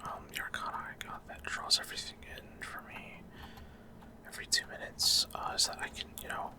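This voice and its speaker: A man giving ASMR gameplay commentary in a soft, unvoiced whisper, hesitant and unhurried with trailing pauses. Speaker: ASMR gaming streamer.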